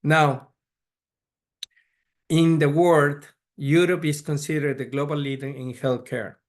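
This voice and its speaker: Measured man lecturing. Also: a little high, little pitch movement. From Ecuador. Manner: measured, frequent restarts and repeated words. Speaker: pediatric neurologist lecturer. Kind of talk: conference lecture.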